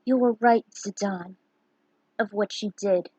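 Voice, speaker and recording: A woman's voice: medium pitch, slow pace, a little mellow, breathy edge, no room sound, low-quality recording. A woman voicing a serene, grateful goddess who speaks solemnly in a fantasy story.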